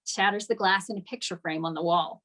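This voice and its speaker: A woman's voice: on the low side, fairly quick, fairly bright tone. A woman narrating scripted stage directions at a table read.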